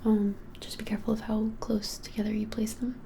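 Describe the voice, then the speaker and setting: Young woman, breathy whisper, even intonation, gentle, unhurried, ASMR shopkeeper roleplay, faint noise. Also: medium pitch. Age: 20s. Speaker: ASMR creator playing a witch shop keeper. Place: small indoor room, plain wall.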